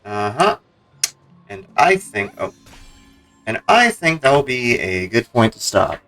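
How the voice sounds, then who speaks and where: A man's voice: medium pitch, sing-song, slow pace, a bit bright, faint music behind. A man chatting casually as a game streamer on a livestream.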